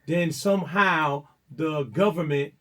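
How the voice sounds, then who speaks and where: Rambling New radio host. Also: male voice, fairly high pitch, fairly bright tone. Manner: rambling, drawn-out storytelling with halting restarts. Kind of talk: casual interview chat.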